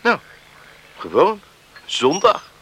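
An easygoing young islander in a radio drama. He speaks slowly and brightly, dodging questions. Faint outdoor noise sits behind the voice. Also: high voice, wildly swooping pitch, exaggerated voice. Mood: casual, evasive. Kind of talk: Dutch radio drama acting.

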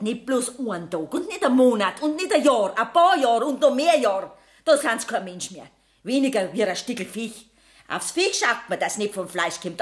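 A woman's voice: fairly high pitch, natural voice. An actress playing an embittered, poor middle-aged Bavarian mother who accuses bluntly in a Bavarian accent, in a radio play. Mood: bitter. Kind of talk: 1970s German radio play acting.

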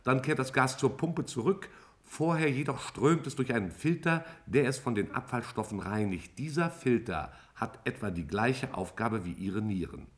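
A man playing an intelligent interstellar cloud in a 1950s radio play, explaining itself in precise, formal, measured words. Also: medium pitch, lively intonation, lightly acted. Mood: calm, matter-of-fact. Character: intelligent interstellar gas cloud speaking to scientists, measured and superior.

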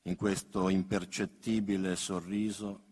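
A man with a deep voice gives a solemn poetry recitation. He speaks very slowly, with grave, measured intimacy, and the sound rings in a long hall reverb. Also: little pitch movement, a little mellow, breathy edge. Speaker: poet reading own work.

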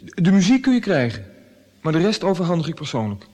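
An actor playing an earnest, nervous young courier in a radio drama, hesitant and deferential. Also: male voice, on the high side, big pitch swings, fast talker, darkish tone. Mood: uneasy.

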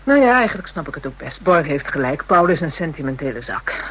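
An actress playing a hardened, taunting woman in a radio drama, with a husky voice, a Dutch accent and brash, slangy speech. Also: low-pitched, big pitch swings, brisk, slight background noise, low-quality recording. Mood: scornful, chatty. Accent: Dutch (Netherlands). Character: hardened, jealous, taunting woman dealing in kinky services.

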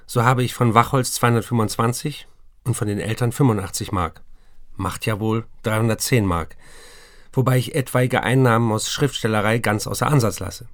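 A man's voice: mid-range voice, normal speed, no room sound, clean studio sound, lightly acted. An actor playing an affectionate, self-doubting writer in his thirties, reading his love letters intimately and earnestly in a radio play. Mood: earnest, reckoning.

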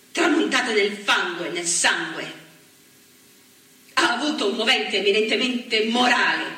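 Solemn fiery woman politician speech, bright voice, echoey stage, a bit far off. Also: medium pitch. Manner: solemn, rhetorical, emphatic. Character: impassioned anti-fascist woman politician addressing fellow assembly delegates. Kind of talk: stage theatre oratory.

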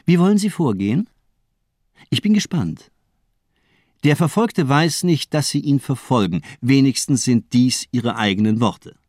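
Suave male doctor, menacing, condescending politeness, animated melody, dry close studio sound, radio crime drama. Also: mid-range voice, no echo. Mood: coolly mocking. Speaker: actor in a crime radio play. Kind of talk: German radio drama acting.

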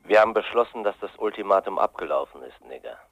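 Casting: radio-drama gangster, low and gravelly, cold contempt, threats that swing down to near-whispers. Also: male voice, lively intonation, fast, dry recording, muffled recording. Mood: cold menace. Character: menacing male gangster delivering an ultimatum, cold and contemptuous. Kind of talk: radio crime drama acting.

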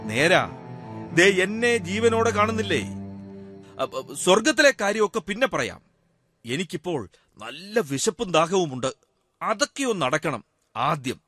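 A man's voice: sits high, big pitch swings, unhurried, pauses often, sharp tone, no room sound, faint music behind, exaggerated voice. An actor playing a wry, secular man risen from death, chatting and teasing unseen listeners in a radio drama monologue. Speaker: monologue performer.

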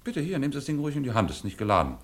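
Stern, pressing male inspector played by an actor for crime radio drama; rapid, animated delivery. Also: mid-range voice, close to an everyday voice. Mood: probing, controlled. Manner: clipped, pressing questioning. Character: stern, insistent male police inspector, sharp interrogator.

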